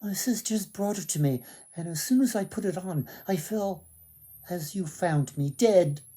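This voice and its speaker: A man voicing a gentle young woman in a storybook tale, breathy and pleading. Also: high voice, measured pace, pitched above the performer's own voice. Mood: alarmed.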